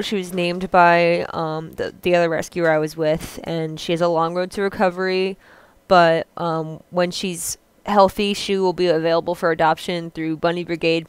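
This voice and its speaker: A young woman rescuing a rabbit, talking casually and bluntly, with frequent swearing and soft cooing to the animal, recorded outdoors and then in a small indoor room. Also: fairly low pitch, clear, resonant tone.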